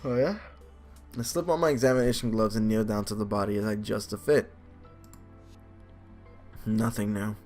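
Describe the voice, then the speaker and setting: A man's voice: fairly low pitch, fairly even intonation, normal speed, pauses often, a little mellow. A man doing casual let's play commentary, reading game narration aloud and adding informal, joking asides. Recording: faint game music behind.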